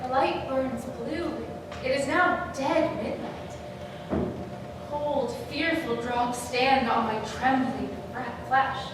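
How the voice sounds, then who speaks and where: A woman playing a guilt-stricken, ambitious female monarch in a theatrical Shakespearean soliloquy, declaimed dramatically and deliberately. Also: high voice, steady tone. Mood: fearful, brooding. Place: live staged reading in echoey room. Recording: echoey room, distant mic, noisy.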